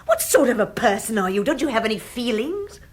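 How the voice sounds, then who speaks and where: Indignant, husky Englishwoman. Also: a little high, very animated, a little fast. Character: indignant, distressed Englishwoman confronting her brother. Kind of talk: radio drama acting.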